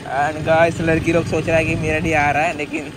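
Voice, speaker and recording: A man's voice: a little high, level tone, fairly quick. A young man narrating a casual motovlog while riding outdoors. He talks in a chatty way, often repeating "guys" and "matlab" as fillers. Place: outdoors on dirt tracks beside a pond. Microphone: phone or action camera on the bike. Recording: wind and motorcycle engine noise.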